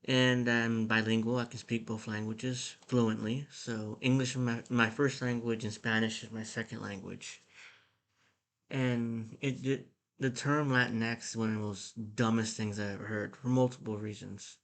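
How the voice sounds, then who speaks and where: A man's voice: fairly low pitch, fairly even intonation, measured pace, fairly bright tone. Blunt, irritated American man. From United States. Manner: blunt, irritated, with frequent restarts. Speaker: political commentator. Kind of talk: casual commentary reading an article.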